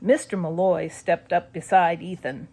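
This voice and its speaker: A woman reading a spooky children's story as scripted narration, expressive and suspenseful. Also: on the low side, unhurried. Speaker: audiobook narrator.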